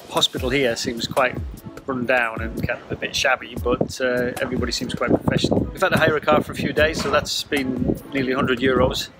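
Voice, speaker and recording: A man in his fifties vlogging to his phone on an outdoor hospital terrace, matter-of-fact and understated. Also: medium pitch. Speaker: sailing vlogger. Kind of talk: casual vlog to camera. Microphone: phone camera at arm's length. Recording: loud music bed behind the voice.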